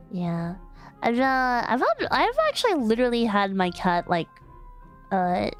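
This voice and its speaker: A woman's voice: on the high side, very animated, slow pace, pauses often. A young woman casually chatting on a livestream, giggly and rambling, with lots of fillers and restarts, playful and affectionate toward her chat. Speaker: streamer. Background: faint background music.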